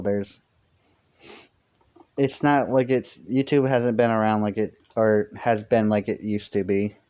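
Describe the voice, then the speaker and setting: Rambling young man, lo-fi stream audio. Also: warm tone. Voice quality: fairly high-pitched for a man. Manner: rambling, many fillers and restarts. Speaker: live streamer. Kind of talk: casual live-stream chat.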